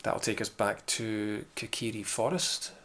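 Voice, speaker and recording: A man's voice: on the low side, level tone, unhurried. A man with a mild Scottish accent, casually commenting on his own gameplay during a live stream, wry and muttering to himself. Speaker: game streamer. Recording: game music playing behind.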